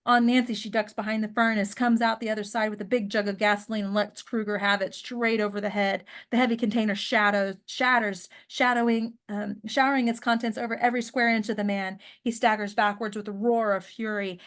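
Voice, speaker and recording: Woman reading out screenplay action, voice a bit high, fumbles words here and there. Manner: stumbles over written words and rereads them. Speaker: narrator reading stage directions. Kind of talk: scripted narration at a table read.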